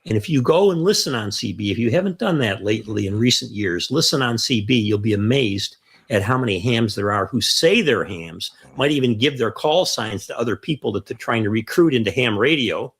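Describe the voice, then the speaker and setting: A man's voice: mid-range voice, expressive, brisk, a bit bright, slightly rough. A mature man co-hosting a radio hobby show, talking conversationally through a list of points and repeating key phrases for emphasis. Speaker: co-host of a ham radio show. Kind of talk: conversational explanatory talk, counting off points.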